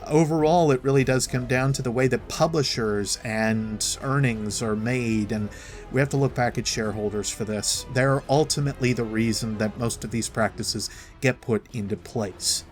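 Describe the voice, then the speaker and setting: A man's voice: medium pitch, moderate pace. A man delivering an opinionated, wordy monologue as a gaming commentator, talking in a semi-improvised way. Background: background music.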